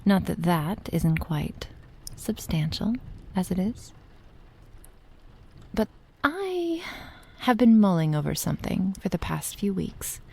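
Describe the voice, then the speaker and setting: A woman playing a possessive, doting witch in an intimate ASMR roleplay, speaking softly and unhurriedly, often slipping into a whisper. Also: on the low side, sing-song, gravelly, husky, exaggerated voice. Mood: coy, affectionate. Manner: soft, unhurried, affectionate, often dropping to a whisper.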